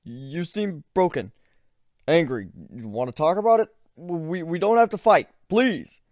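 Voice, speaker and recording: Trembling, pitiful male mage, performed by a man, fantasy story, quick to anger, raised animated pitch, dry sound. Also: no echo. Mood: pleading. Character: panicked, pleading male mage turning angry and threatening.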